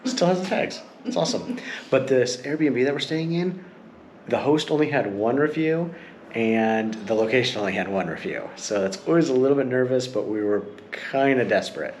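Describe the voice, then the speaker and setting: A man's voice: medium pitch, lively intonation, moderate pace, a little mellow. A man talking casually as he films a family travel vlog. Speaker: travel vlogger, father. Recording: noisy handheld vlog, street and ambient noise.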